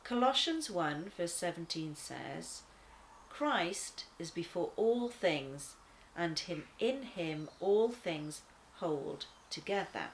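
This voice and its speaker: A woman reading a children's devotional aloud in a deliberate, expressive manner. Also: fairly low pitch, a little hiss or hum, low-quality recording. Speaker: children's church story reader.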